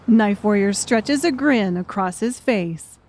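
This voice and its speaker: A woman's voice: mid-range voice, lively intonation, slow pace, a little mellow. A woman reading scripted story narration for an audiobook.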